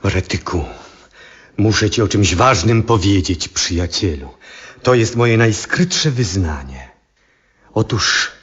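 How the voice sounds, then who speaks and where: An ailing old astronomer, weary and stubborn. His low voice is very breathy and husky, moving slowly and haltingly, in a dull vintage radio drama recording. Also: fairly bright tone, exaggerated voice. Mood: solemn confiding. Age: older. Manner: slow, halting, solemn delivery. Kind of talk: 1970s Polish radio drama acting.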